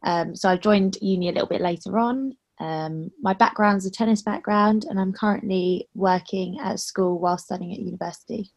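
A woman's voice: mid-range voice, moderate pace, few pauses. A woman in her twenties from London, a student talking steadily in a casual chat. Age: 20s. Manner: steady, starts with "um".

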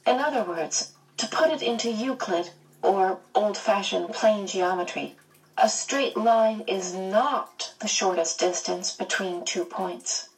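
A woman voicing a calm, precocious little boy who explains things patiently like a teacher, recorded at a distance. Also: medium pitch, slow pace.

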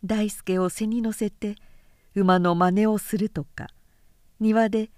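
Female audiobook narrator: medium pitch, composed literary storytelling, careful pacing, very dry sound. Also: steady tone, slow, a little mellow, dry recording. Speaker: narrator reading aloud.

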